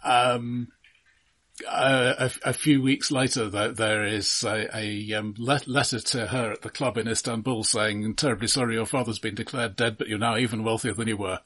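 A man co-hosting a film podcast, chatting casually about movies in a measured, halting, dryly wry way. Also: lowish voice, steady tone, a bit bright. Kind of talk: casual film review chat.

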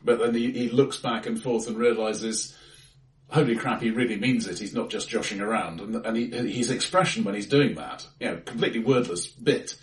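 A man co-hosting a casual film discussion, halting and repeating words as he thinks, in a bright, echoey room. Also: lowish voice, far from the mic. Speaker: film podcast co-host. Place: indoors in an acoustically bright room.

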